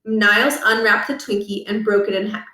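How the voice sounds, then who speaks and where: A woman narrating a children's book aloud, with expressive storytelling and deliberate emphasis. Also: mid-range voice. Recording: roomy echo, distant from the mic.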